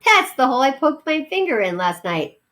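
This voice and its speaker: A woman's voice: high voice, very animated, a little fast, a bit bright, pitched above the performer's own voice. A woman voicing a friendly young boy in a storybook, earnest and obliging, a little cautious. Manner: earnest, mildly scolding, obliging.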